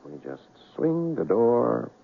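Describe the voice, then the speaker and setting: An actor playing a gentle reformed safecracker with hesitant courtesy, in old-time radio drama style. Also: male voice, medium pitch, sing-song, slow, gravelly, full character voice. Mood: calm, deliberate. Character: gentle reformed safecracker, a man saying goodbye to his fiancée. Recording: muffled narrow-band radio, faint hiss.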